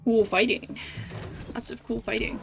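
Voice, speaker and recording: A woman gives casual live game commentary in a mid-range voice, taking her time. She drops chatty, offhand remarks to viewers over clearly audible game music. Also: on the high side, steady tone, unhurried. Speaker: game streamer.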